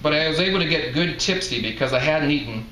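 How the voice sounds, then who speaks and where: A man's voice: a little high, animated, fast, bright, forceful tone. A man reading first-person literary narration aloud from his own novel as its author. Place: live reading event indoors. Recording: echoey room, faint background noise.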